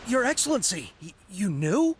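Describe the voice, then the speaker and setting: Loyal soldier, young male: high, very animated, anguished and earnest, video game drama. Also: moderate pace, a little hiss or hum. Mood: hesitant, startled. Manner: earnest, pleading.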